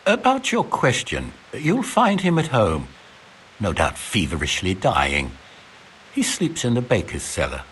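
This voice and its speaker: Wry master engraver, wildly swooping intonation. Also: male voice, fairly low pitch, huge pitch swings, measured pace, fairly bright tone, slight background noise, exaggerated voice. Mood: disdainful, sardonic. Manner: verbose, dryly sarcastic, ornate phrasing. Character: wry, learned older master engraver, exasperated with apprentice. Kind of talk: video game dialogue acting.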